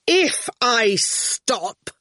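A woman's voice: a little high, sing-song, extremely slow, sharp tone, no echo, studio quality, pitched above the performer's own voice, full character voice. A woman voicing a hot-tempered, haughty man who snaps with indignant scorn in a story. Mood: suspicious, scornful.

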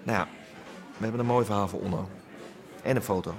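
An actor playing a laid-back but stubborn, eager squatter activist in a radio drama, casual and persistent. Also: male voice, on the low side, quick pace, crowd noise, close to an everyday voice. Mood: easygoing, persistent. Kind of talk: naturalistic radio drama dialogue.

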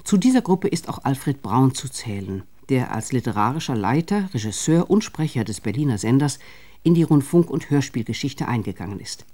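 A man reading a scripted radio documentary in a measured, formal manner. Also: mid-range voice, sing-song, mellow. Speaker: narrator of a radio feature.

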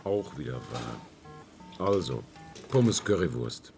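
A man's voice: very deep voice, sing-song, slow, vocal fry, darkish tone, soft background music, exaggerated voice. A man playing a blunt, jokey working-class regular at a snack bar in a radio drama, colloquial and matey. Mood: gruff, amazed. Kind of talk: German radio drama acting.

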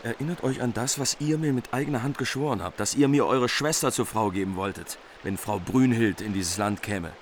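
An actor playing a bold, self-assured hero, demanding and resolute, in a literary radio drama. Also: male voice, medium pitch, brisk, hardly any pauses, lightly acted. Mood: insistent, demanding. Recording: loud background noise.

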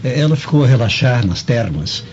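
An actor playing an indulgent, genial Roman uncle in a radio drama, doting and easygoing. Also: male voice, medium pitch, lively intonation, normal speed, a little mellow, slight background noise, lightly acted. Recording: dull lo-fi audio with music.

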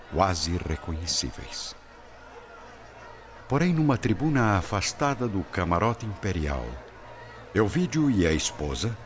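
Deep, grave Brazilian male narrator. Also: a little hiss or hum. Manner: grave, measured storytelling. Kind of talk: dramatic scripted narration of an audio drama. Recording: dull lo-fi audio, loud music behind.

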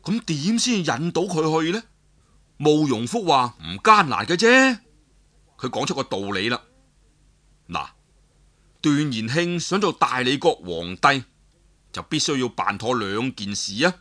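A man's voice: medium pitch, sing-song, measured pace, pauses often, pushed and bright. A man with a Cantonese accent telling a story in a colloquial, explanatory way, putting rhetorical questions to listeners. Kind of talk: dramatic Cantonese storytelling narration.

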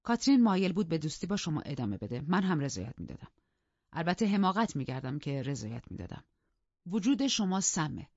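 A woman voicing a haughty, refined gentleman husband who speaks with formal, stern indignation. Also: low voice, big pitch swings, slightly rough, no room sound, dull audio, full character voice. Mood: coldly controlled.

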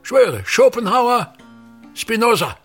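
An actor playing a pompous, tyrannical older theatre manager in a radio drama, declaiming scornfully in a gravelly, husky voice. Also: male voice, extremely high pitch, big pitch swings, takes it slowly, soft background music, full character voice. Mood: demanding. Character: pompous, tyrannical ageing male theatre actor-manager berating his son.

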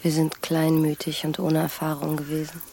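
A sorrowful, regretful woman in a radio play. She speaks solemnly in a flat, subdued tone, with faint noisy ambience behind her. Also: low-pitched, moderate pace, vocal fry. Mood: sad regret. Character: sad, regretful woman, once courted and deceived. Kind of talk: German literary radio drama acting.

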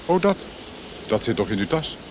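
An actor playing a guarded middle-aged monk in a radio play, speaking in terse, measured, insinuating lines. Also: male voice, mid-range voice, very animated, close to an everyday voice. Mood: evasive. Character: guarded, cryptic monk of about forty, quietly menacing. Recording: noisy old radio drama, outdoor effects.

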